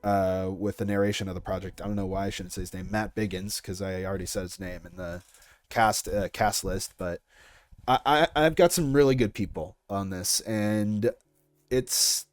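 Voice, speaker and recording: A man's voice: on the low side, dry recording. A young man answering interview questions about his project in a casual, chatty and informal way. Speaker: series creator being interviewed.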